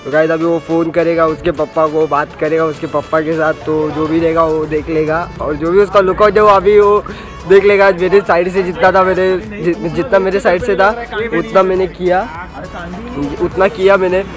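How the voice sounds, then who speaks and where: A man's voice: a little high, little pitch movement, moderate pace, over music. Young man vlogging by the roadside. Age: young adult. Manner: rambling, lots of fillers and restarts. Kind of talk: casual travel vlog. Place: outdoors by a roadside. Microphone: phone held at arm's length.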